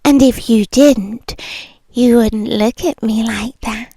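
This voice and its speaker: British woman acting as a teasing yandere bartender girlfriend for ASMR roleplay; high, very slow, breathy and whispery, close-miked. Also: steady tone, warm tone, no echo. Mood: teasing, possessive. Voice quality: breathy, often dropping into whisper. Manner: slow, teasing, lingering pauses. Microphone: close-up ASMR microphone.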